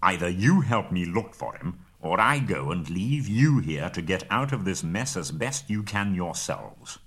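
A gruff old wizard barks orders and scolds his companions in a deep, husky voice, as a male narrator puts him on. His pitch moves a lot even while he sounds stern. It is a storybook reading. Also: a little slow, full character voice. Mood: scolding. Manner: forceful, scolding.

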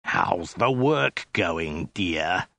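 A man's voice: fairly low pitch, moderate pace, gravelly, pushed and bright, no room sound, exaggerated voice. A man voicing a bullying Mongol soldier who snarls blunt orders in a comic story. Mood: snarling.

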